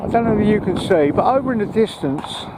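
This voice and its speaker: A man's voice: sits high, expressive, a little fast. A man chatting casually and a little hesitantly to camera as he walks outdoors in a park. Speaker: vlogger. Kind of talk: casual chat to camera while walking. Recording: noisy outdoor recording with wind.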